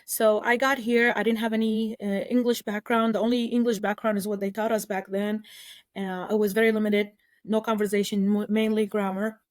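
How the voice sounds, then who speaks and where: A woman in her forties from Iraq, a lawyer telling her life story as a podcast guest in an earnest, measured way with frequent pauses. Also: mid-range voice, level tone, no room sound. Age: 40s. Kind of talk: interview storytelling.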